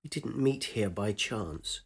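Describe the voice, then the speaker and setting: A man voicing a quiet, fearful young man with a secret, speaking hushed and hesitant in a spoken story. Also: lowish voice, animated, slow, creaky, husky, full character voice.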